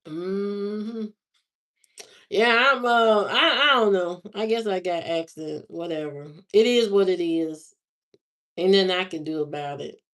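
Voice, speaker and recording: A woman hosting a livestream, chatting casually with viewers in a slow, drawling, musing way. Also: medium pitch, fairly bright tone. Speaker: livestream host. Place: lives in Indiana, not from there.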